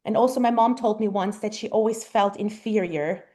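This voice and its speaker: A German-born woman in her thirties, a native German speaker, answering interview questions thoughtfully with frequent pauses and ums. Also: mid-range voice, dark tone. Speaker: guest mother. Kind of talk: casual interview conversation.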